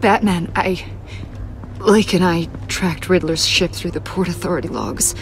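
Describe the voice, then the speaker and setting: A woman's voice: fairly low pitch, fairly even intonation, unhurried, soft background music. An actress playing an earnest, guilt-ridden female agent in a video game, with a subdued manner. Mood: remorseful.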